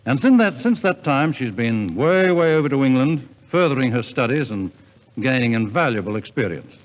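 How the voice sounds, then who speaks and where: A man's voice: medium pitch, sing-song, moderate pace. A man compering a 1950s live radio variety show in a chatty, avuncular, effusively courteous manner. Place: hall with a live audience. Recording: muffled 1950s radio broadcast, echoing hall.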